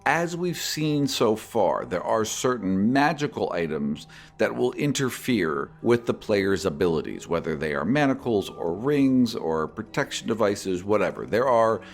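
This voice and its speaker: A man's voice: on the low side, sing-song, unhurried, hardly any pauses, faint music behind. A man hosting a podcast, reading out and answering listener questions in an articulate way. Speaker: podcast host and game master.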